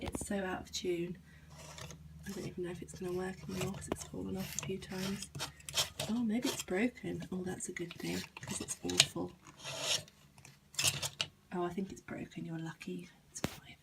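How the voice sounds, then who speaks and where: A woman's voice: medium pitch, moderate pace, creaky, very noisy. A young British woman giving a casual, self-deprecating home tour in an unpolished, informal way. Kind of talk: casual informal chat to camera.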